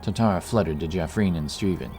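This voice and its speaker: A man's voice: deep voice, brisk, a little mellow, slight background noise. A man reading scripted narration for a fantasy audiobook. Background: music.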